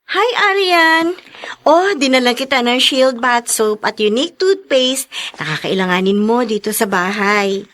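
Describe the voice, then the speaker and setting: An actress playing a caring, excitable young woman friend in a Tagalog radio drama, chatty and expressive. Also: fairly high pitch, a little slow. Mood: cheerful, concerned.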